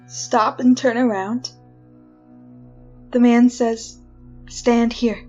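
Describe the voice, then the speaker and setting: A woman acting a frightened condemned prisoner in a retro radio drama, pleading innocence in a halting, folksy way. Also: fairly high pitch, dark tone, muffled recording, close to an everyday voice. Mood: haunted dread.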